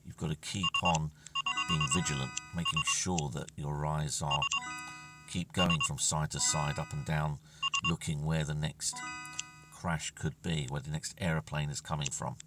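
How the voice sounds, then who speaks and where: Very deep, creaky-voiced man. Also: little pitch movement, a little slow. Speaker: gamer narrating his own play. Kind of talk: casual game commentary. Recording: distant mic with music playing.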